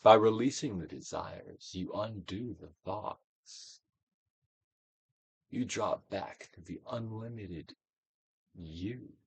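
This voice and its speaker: A man reading a self-help book aloud as a dramatic, guided-meditation narration, with deliberate actorly emphasis, swelling and dropping to a hush. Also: lowish voice, gravelly, breathy edge, dry recording, poor audio. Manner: theatrical, deliberate actorly delivery with emphatic swells and hushed drops.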